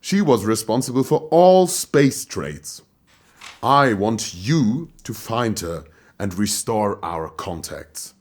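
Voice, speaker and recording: A gruff chancellor, swinging pitch. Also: male voice, lively intonation, slow pace. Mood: stern. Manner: brusque, dismissive, commanding. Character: gruff, impatient, pompous male moon-colony chancellor. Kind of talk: audio drama acting.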